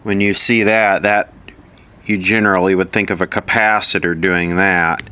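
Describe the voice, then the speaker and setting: Man, deep voice, bright forceful tone, informal repair tutorial, matter-of-fact, thinking aloud. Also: flat delivery, moderate pace, clean tone. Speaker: electronics repair instructor.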